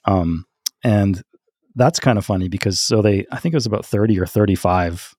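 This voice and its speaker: Male podcast co-host, lowish voice, relaxed conversational anecdotes, stop-and-start delivery, very dry sound. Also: dry recording. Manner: halting, chuckles often while talking. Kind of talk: casual chat, telling music trivia and anecdotes.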